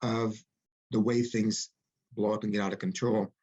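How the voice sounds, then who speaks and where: A man's voice: on the low side, level tone, normal speed, darkish tone, husky, highs cut off. A man speaking as an interviewed author and producer, explaining things reflectively with folksy turns of phrase. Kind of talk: interview conversation.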